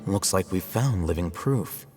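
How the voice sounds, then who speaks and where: An actor playing a composed, analytical young scholar in a video game, speaking in a measured, matter-of-fact way. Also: male voice, low voice, expressive, long reverb tail, music in the background. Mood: composed, explaining.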